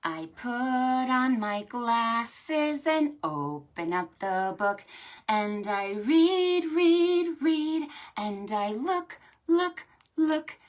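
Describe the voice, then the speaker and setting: A woman hosting a storytime for preschoolers, talking to small children in an animated, sing-song way. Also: fairly high pitch, very slow. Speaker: children's librarian and storytime host. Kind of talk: preschool storytime with stretches and songs.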